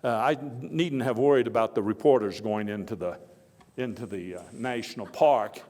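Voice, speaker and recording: A man's voice: lowish voice, darkish tone, lightly acted. Man playing a folksy old-time statesman in an echoey hall. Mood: reminiscing. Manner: folksy, halting, with oratorical emphasis and wry asides. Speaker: historical reenactor. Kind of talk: live first-person historical monologue, speech to an audience. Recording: echoey hall with long reverb.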